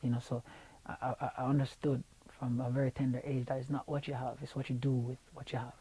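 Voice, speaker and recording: A man's voice: mid-range voice, flat delivery, quick pace, warm tone, slightly rough, rough recording. A young Jamaican man in an interview, talking in an easygoing way with lots of fillers and repeated words, on a lapel mic in a studio room. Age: young adult. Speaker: singer being interviewed. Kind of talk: relaxed interview chat. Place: radio station studio room.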